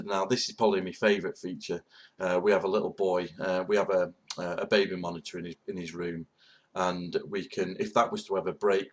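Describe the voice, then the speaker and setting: A man giving a casual, unscripted product review, often repeating himself and stumbling over words as he gives enthusiastic praise. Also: low-pitched.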